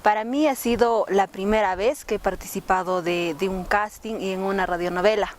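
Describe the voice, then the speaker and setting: A woman gives an unscripted testimonial about her own experience. Her voice sits at an average female pitch, with faint noise behind it. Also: normal speed. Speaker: radio drama actress. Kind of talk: spontaneous testimonial. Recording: steady background noise.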